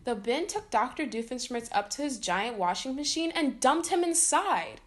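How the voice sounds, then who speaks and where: A woman reading a children's story aloud in an expressive way, acting out the dialogue. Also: high-pitched, normal speed. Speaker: storybook reader.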